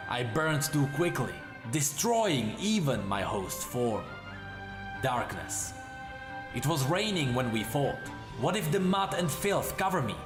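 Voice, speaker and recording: Man voicing an anguished warrior spirit trapped in a blade, echoing. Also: fairly high pitch. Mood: brooding, desperate, then panicked, pleading. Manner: haunted, halting, short dramatic phrases.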